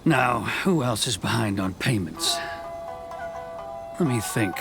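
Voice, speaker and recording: An actor playing a self-satisfied, shady tax collector in a video game, musing smugly to himself. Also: male voice, on the low side, lively intonation, takes it slowly, gravelly, full character voice. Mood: scheming. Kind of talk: acted video game dialogue. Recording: game music behind the voice.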